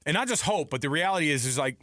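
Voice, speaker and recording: Fast-talking, emphatic male sports radio host. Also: on the high side, expressive, pushed and bright, no echo, studio quality. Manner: emphatic, informal, repeats himself. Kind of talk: lively, opinionated sports talk. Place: radio studio. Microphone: close broadcast studio mic.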